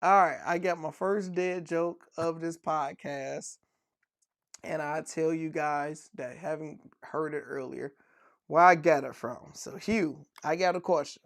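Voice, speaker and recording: A man's voice: a little high, fairly even intonation, unhurried, creaky, a bit bright, no echo, studio quality. A man co-hosting a casual podcast, playfully telling dad jokes and laughing at them. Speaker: podcast co-host.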